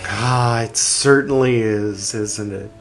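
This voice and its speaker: A man hosting a podcast, reading a text aloud and speaking its punctuation, with quiet wry asides between passages. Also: fairly low pitch, music in the background. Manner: reads slowly, speaking the punctuation aloud and trailing into whispered asides.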